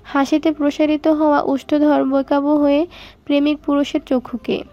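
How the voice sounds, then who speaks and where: High, even-toned woman narrating a story. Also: moderate pace, lo-fi sound.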